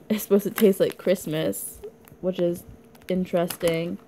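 A young woman from Canada chatting casually through an unboxing video, rambling and giggly, her voice hoarse from being sick. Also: fairly low pitch, steady tone, unhurried, mellow, a little hiss or hum. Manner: rambling, giggly, lots of likes and ums. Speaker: vlogger.

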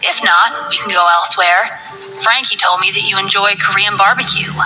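Lady doing a keen young ceo guy, coaxing and eager, bright tone. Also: mid-range voice, steady tone, normal speed, soft background music, low-quality recording. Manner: coaxing, attentive.

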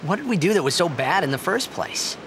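An eager, restless young American hero from a video game. His boyish, earnest delivery swings widely in pitch. A clear surf-like rumble runs behind him. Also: a little fast. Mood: puzzled. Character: eager, naive, restless teenage boy hero. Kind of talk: video game cutscene voice acting. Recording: game audio over steady surf-like rumble.

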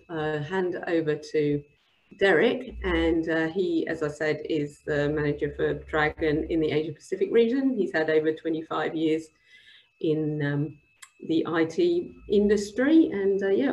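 A woman with a slightly low voice hosts an online webinar. She introduces things informally, speaking over a video call. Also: a little mellow, dull audio. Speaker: webinar host.

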